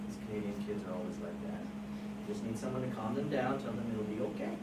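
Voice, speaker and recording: A woman's voice: rumbling low, fast talker, voice pushed down. A woman playing a blunt, judgmental immigrant mother, a middle-aged doctor, in a script read live. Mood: opinionated, dismissive. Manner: chatty, opinionated, teasing. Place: live table read in a room with audience. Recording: echoey room from far off, very noisy.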